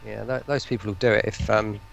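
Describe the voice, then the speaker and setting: A man with a fairly low voice and a strong gravelly creak, chatting informally on a livestream. He talks like a developer explaining work in progress to fellow guests. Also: fairly even intonation, quick pace, lo-fi sound, a little hiss or hum. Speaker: voice pack developer, interview guest. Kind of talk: casual livestream discussion.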